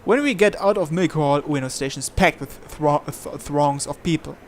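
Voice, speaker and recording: A man's voice: on the high side, moderate pace, a little hiss or hum. A man reading a visual novel aloud, narrating and acting out the protagonist's lines. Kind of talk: dramatized reading of narration and dialogue. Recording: music playing behind the voice.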